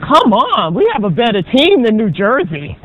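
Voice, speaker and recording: A woman professor giving a classroom lecture in a loud, brisk, chatty way, with frequent ums. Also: on the high side, huge pitch swings. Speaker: auditing professor. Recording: dull lo-fi lecture recording.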